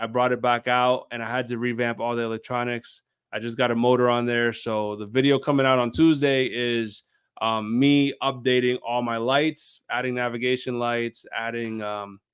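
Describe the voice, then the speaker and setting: A man's voice: medium pitch, a bit bright, clean tone, no room sound. A man speaking informally as a guest on a show, a video creator explaining things in casual chat.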